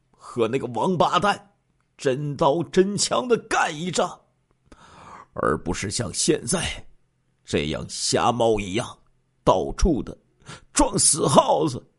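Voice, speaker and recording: A man voicing a burdened, emotional male police chief in a story, with emphatic, drawn-out delivery. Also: on the low side, voice pushed down, exaggerated voice.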